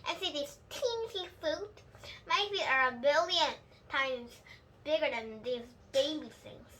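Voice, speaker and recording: A boastful little storybook girl, acted by a woman, high and bright. She is huffy and emphatic, dragging out key words to prove she is grown-up. Faint noise sits behind her. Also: animated, a little slow, full character voice.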